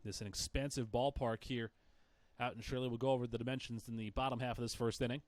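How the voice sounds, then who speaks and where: A man's voice: mid-range voice, fairly even intonation, quick pace, no echo. Male baseball play-by-play commentator, dry sound. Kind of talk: live sports commentary.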